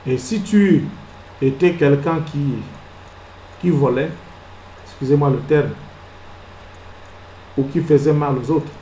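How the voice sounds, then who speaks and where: A man preaching a sermon as pastor. He fires rhetorical questions, moves between soft asides and loud exclamations, and acts out little scenes. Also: on the high side, takes it slowly, long pauses, roomy sound, a little hiss or hum, poor audio. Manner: acts out little scenes and laughs at his own jokes.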